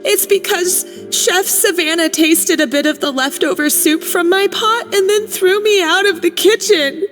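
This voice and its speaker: A loyal young kitchen worker from a video game, earnest and outspoken. Her voice sits high and sounds worried. A long reverb trails it with faint music underneath. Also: a little slow, few pauses, a bit bright.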